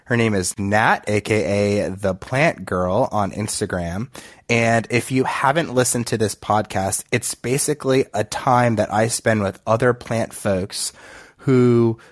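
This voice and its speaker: A man's voice: fairly low pitch, a little slow, no echo, clean studio sound. A man hosting a casual podcast chat, friendly and chatty, his voice a little nasal from allergies. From Florida. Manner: friendly, chatty, with fillers and restarts. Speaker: podcast host.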